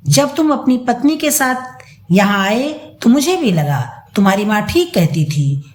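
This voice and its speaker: A woman's voice: a little high, sing-song, measured pace. A woman voicing a stern, indignant older father in a dramatised audio story. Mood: wounded anger. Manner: indignant, accusing, firm. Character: stern, angry older father rebuking his greedy son. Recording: roomy echo.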